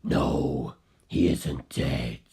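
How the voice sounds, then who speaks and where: Very deep, slow, aloof superhuman. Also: warm tone, breathy edge, full character voice. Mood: flat contradiction. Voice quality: very deep, heavy voice. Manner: slow, deliberate, dismissive. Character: Kars, aloof, knowing superhuman man of few words.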